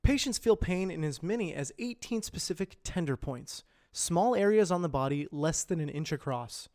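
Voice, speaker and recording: A man reading scripted informational narration in a measured, carefully enunciated presenter delivery, like an educational voice-over. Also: on the high side, darkish tone. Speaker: amateur voice actor practising reads.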